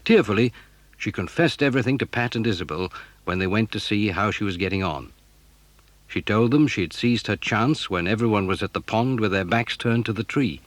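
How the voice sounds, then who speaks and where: A man's voice: fairly low pitch, fairly quick, dry recording. A man reading a scripted children's story as narrator.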